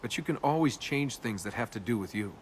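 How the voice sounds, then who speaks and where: A roguish young tomb robber from a video game, played by a male actor. He talks casually and wryly, guarded and cynical, in a medium-pitched voice. Game ambience runs noticeably behind him. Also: lively intonation, a little fast, a little hiss or hum, lightly acted. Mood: defiant. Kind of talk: naturalistic video game dialogue.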